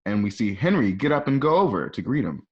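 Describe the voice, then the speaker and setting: Man, mid-pitched, scripted scene narration, radio play narrator, dull lo-fi home recording. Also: big pitch swings, a little fast, a little hiss or hum.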